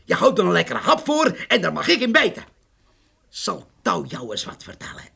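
An actor playing a blunt, stubborn village farmhand in a radio drama, husky and earthy in his way of talking. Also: sits high, wildly swooping pitch, normal speed, sharp tone, no room sound, clean studio sound, full character voice. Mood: indignant, angry. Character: blunt, stubborn, nosy Dutch village farmhand, plain-spoken. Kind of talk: naturalistic radio drama acting.

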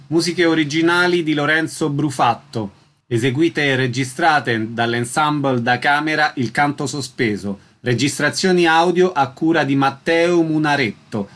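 A male announcer with a slightly high voice reads scripted credits. The delivery is that of a prepared announcement, item after item. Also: fairly bright tone.

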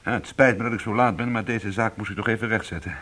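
An actor playing a weary, defensive but soothing ex-convict in a radio drama, with a husky voice. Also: male voice, fairly low pitch, fast, fairly bright tone. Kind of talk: radio drama acting and first-person narration.

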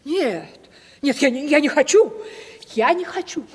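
A woman's voice: high-pitched, lively intonation, a little slow, a little mellow. An actress in a theatrical radio play, playing a vain, easily flustered aging lady landowner who is plaintive and theatrically indignant. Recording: reverberant 1970s radio play studio.

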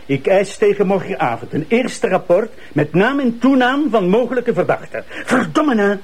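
Domineering male prosecutor, Flemish accent, high husky voice, demanding and impatient, naturalistic TV drama. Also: expressive, moderate pace. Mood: angry, urgent. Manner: pointed, demanding. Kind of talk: naturalistic television drama dialogue.

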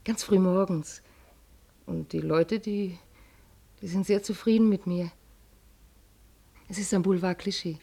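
A devoted, worried working-class mother in a radio play. Her voice is fairly low, very mellow and husky. She speaks tenderly, with hesitations and halting phrases. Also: steady tone, heavily acted. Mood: concerned, reassuring. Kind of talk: German radio play acting.

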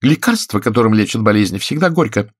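Casting: male narrator as a theatrical, spiteful woman of the stage, raised pitch, lively swings, audiobook dialogue. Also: fast talker, slightly rough, dry recording, clean studio sound. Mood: theatrically tragic. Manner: spiteful, ironic, melodramatic. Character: haughty, shrill actress woman, venomous and theatrical.

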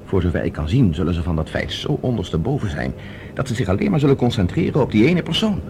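A man's voice: lowish voice, big pitch swings, fast, creaky, noisy background. A man playing a decisive professor in a science fiction radio drama, speaking with urgent, analytical authority. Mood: calculating, urgent. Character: decisive male professor plotting as captive space traveller.

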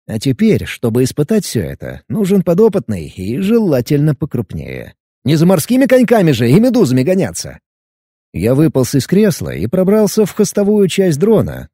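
A man reading a first-person adventure audiobook in a lively, expressive way. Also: medium pitch, no echo, studio quality. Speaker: audiobook narrator.

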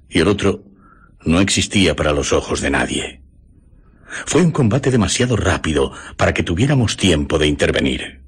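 A man playing a grave eyewitness narrator in a radio drama, husky and measured. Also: deep voice. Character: grave male eyewitness recounting an adventure tale.

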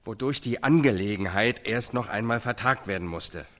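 An actor playing a formal American TV reporter presenting a live news broadcast in a radio drama. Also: male voice, lowish voice, normal speed, fairly bright tone, lightly acted. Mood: formal, solemn. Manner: formal, announcer-like.

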